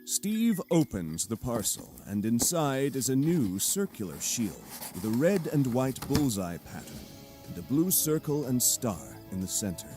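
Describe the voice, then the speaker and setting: A man's voice: mid-range voice, very animated, measured pace. A man narrating an audio drama in a theatrical, scripted storytelling style. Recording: cinematic music bed behind.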